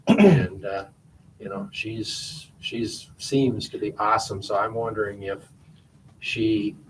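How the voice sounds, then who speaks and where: A man's voice: lowish voice, takes it slowly. A man chairing a school board meeting in a meeting room, casual and chatty. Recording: distant room mic with faint hiss.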